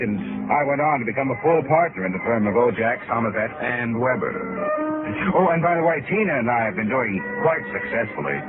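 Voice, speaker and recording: A man's voice: mid-range voice, lightly acted. A man playing a self-satisfied husband narrating his own story conversationally in a 1950s radio drama. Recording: muffled old radio recording with music.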